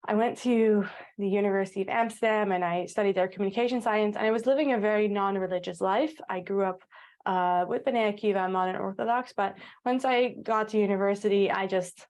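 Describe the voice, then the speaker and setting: A Dutch-born woman in her thirties with an American-tinged accent, talking about her work as a guest on a podcast in an eager, energetic way. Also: medium pitch, brisk. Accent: American-tinged English. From Netherlands. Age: 30s. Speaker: guest, content creator. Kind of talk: podcast interview.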